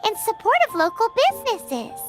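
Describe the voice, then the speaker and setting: Tiny cheeky game fairy: extremely high, squeaky, very animated childlike delivery. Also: female voice, fairly quick, over loud music. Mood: eager, cheeky. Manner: exclamatory, cheeky, speaks of self in third person. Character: small childlike fairy companion, chirpy, cheeky, easily exasperated. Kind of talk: video game voice acting.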